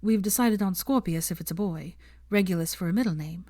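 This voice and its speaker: A woman's voice: on the low side, a little fast, mellow, close to an everyday voice. A woman voicing a prim, articulate young woman. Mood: chatty. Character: young adult pregnant woman, earnest and prim.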